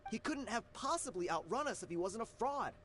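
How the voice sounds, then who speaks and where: A man's voice: high voice, lively intonation, fairly quick, a bit bright, faint music behind. A man playing a nervous, evasive and spiteful gang member under questioning in a video game, stammering defensively. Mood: spiteful, dismissive.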